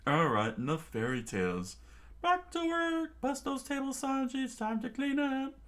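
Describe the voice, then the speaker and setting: A man's voice: very high-pitched, sing-song, moderate pace, fairly bright tone, pitched above the performer's own voice, exaggerated voice. A man doing a scoffing, bossy ship's chef. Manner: scoffing, lecturing. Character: gruff older male ship's chef, mocking and bossy.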